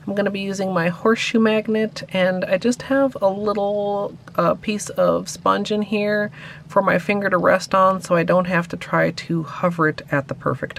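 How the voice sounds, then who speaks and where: A woman narrating a nail art tutorial as a voice-over, explaining each step calmly. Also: medium pitch, gravelly, a little hiss or hum. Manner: calm step-by-step instructions.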